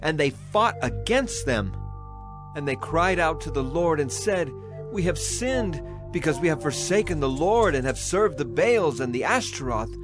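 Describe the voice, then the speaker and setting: Solemn old prophet, higher-pitched oration over music. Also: male voice. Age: older. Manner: solemn, declamatory oratory. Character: aging male prophet, solemn, righteous, stern yet caring. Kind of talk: dramatized scripture acting.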